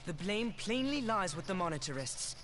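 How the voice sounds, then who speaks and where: An actor playing an earnest, dutiful young military officer in a video game, speaking in formal, old-fashioned phrases. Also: male voice, high voice, very animated, fairly bright tone, slight background noise. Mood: grave, formal. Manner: formal, archaic, measured phrasing. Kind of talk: video game cutscene acting.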